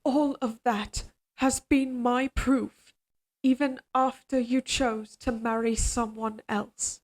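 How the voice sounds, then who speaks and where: A woman voicing an obsessively devoted, quietly menacing evil queen in an audio drama, speaking in a formal, measured, imperious way. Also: a little high, fairly even intonation. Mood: controlled menace. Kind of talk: scripted dramatic audio roleplay.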